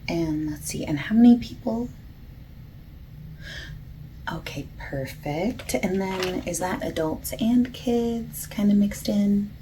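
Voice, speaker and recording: A woman playing a friendly, patient bakery salesperson in a soft-spoken ASMR roleplay, often breathy and near a whisper, gently overexplaining. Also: takes it slowly, close to an everyday voice. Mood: soft, accommodating. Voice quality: low-pitched, creaky, soft. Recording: lo-fi hissy close recording, keyboard typing.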